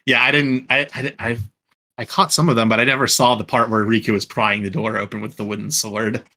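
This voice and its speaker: Bright-toned man, rambling podcast host. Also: medium pitch, level tone, brisk. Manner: rambling, lots of fillers and restarts. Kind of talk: casual chat. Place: at home.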